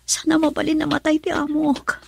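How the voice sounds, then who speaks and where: A woman's voice: high voice, monotone, a little fast, creaky. A woman acting an anxious caregiver in an Ilocano radio drama. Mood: worried, murmuring.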